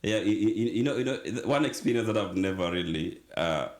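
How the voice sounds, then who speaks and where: A Kenyan man with a Kenyan accent speaks in a very low, deep voice. He chats casually as a guest and rambles through his story with restarts. Now and then he slips into phrases of his own tongue. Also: animated, brisk. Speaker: guest, former radio manager. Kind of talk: casual interview chat. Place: community show studio, Australia.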